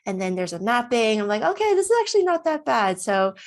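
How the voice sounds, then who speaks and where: A woman in her thirties giving a casual, self-recorded testimonial in a chatty, expressive way, often voicing her own thoughts. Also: a little high, fairly quick.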